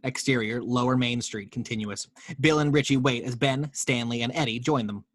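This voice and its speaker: A man's voice: medium pitch, moderate pace, a bit bright. A man narrating screenplay action and scene directions as the reader in a scripted table read.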